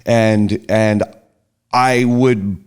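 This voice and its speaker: A man's voice: lowish voice, fairly even intonation, very slow, smooth. A middle-aged man in a casual podcast chat, speaking hesitantly with frequent restarts. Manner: hesitant, restarts and trails off, soft-spoken reflection. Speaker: podcast guest, host's partner.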